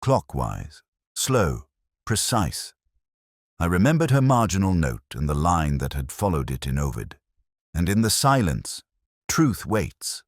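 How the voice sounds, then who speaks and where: Very deep-voiced man narrating a mystery. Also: very animated, a little slow, no echo, studio quality. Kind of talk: first-person scripted detective story narration.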